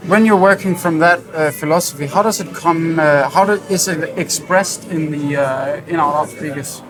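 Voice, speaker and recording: A man's voice: on the high side, moderate pace, music in the background. A man hosting a conversational interview on location, putting questions to a guest. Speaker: interview host. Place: busy hi-fi trade show hall. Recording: crowd chatter around the voice. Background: crowd chatter.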